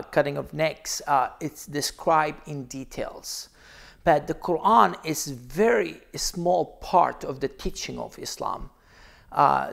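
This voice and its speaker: A man's voice: a little high, creaky, a bit bright. A middle-aged man, a native Arabic speaker with an Arabic accent, explaining emphatically to camera. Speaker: pastor. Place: small indoor room, seated.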